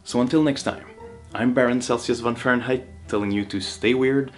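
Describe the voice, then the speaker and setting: A man's voice: medium pitch, fairly even intonation, moderate pace, soft background music, pitched above the performer's own voice, lightly acted. A man with a French Canadian accent, French his first language, playing a grand, formal steampunk baron from another reality in a scripted monologue. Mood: grandiose.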